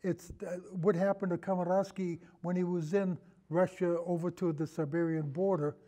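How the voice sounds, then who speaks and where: An older man talking casually about film as a guest, in a rambling, halting way that trails off. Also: high voice, flat delivery, dark tone. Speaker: podcast guest, longtime radio show host.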